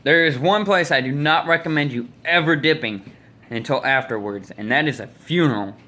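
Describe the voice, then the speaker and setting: A man's voice: fairly high pitch, normal speed, bright, forceful tone. A man in his twenties with an American accent, telling stories casually in a folksy, opinionated way into a phone at arm's length. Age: 20s. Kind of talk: casual rambling storytelling to camera. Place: small indoor room.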